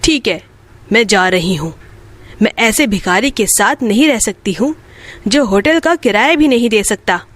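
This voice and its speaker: A woman's voice: medium pitch, animated, a little slow, pitched below the performer's own voice. A woman voicing a scornful, callous young wife in a children's moral story.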